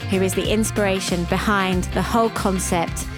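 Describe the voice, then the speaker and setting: A woman's voice: medium pitch, fairly even intonation, over music. Reflective woman. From England. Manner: reflective, with frequent ums and pauses. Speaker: podcast host and cafe founder. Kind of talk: conversational podcast storytelling.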